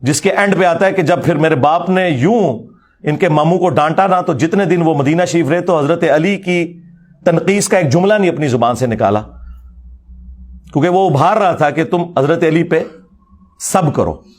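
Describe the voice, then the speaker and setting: Pakistani punjabi guy doing a fiery religious talk, pretty high voice, mocking and emphatic. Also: lively intonation, normal speed. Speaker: religious lecturer. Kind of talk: informal polemical religious lecture.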